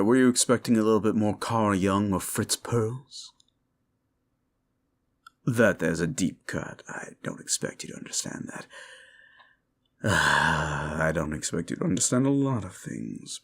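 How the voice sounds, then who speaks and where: A man playing a cruel, sneering demon in an ASMR roleplay, sardonic and condescending, with a gravelly voice. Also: on the low side. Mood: mocking, impatient. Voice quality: gravelly, creaky. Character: cruel, sneering male demon bargaining for wishes. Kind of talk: scripted ASMR roleplay addressed to the listener.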